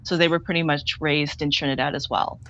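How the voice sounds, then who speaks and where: A woman filmmaker being interviewed remotely, speaking candidly and haltingly with frequent restarts. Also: low voice, fairly even intonation, fairly bright tone, dull audio. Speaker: filmmaker guest. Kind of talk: interview over a remote link.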